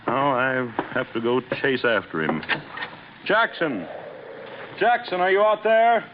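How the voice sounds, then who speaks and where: A man's voice: medium pitch, animated, unhurried, lightly acted. Irritable, domineering husband, acted by a man, muffled radio sound. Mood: irritated, barking. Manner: impatient, snapping, scornful. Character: irritable, domineering husband obsessed with his plant experiments. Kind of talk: old-time radio horror acting. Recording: dull, noisy old radio recording.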